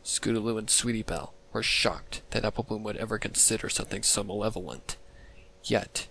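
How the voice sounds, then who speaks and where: A man reading scripted narration for a dark fan fiction story. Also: lowish voice, brisk, creaky, slight background noise. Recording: loud music under the narration. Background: loud music.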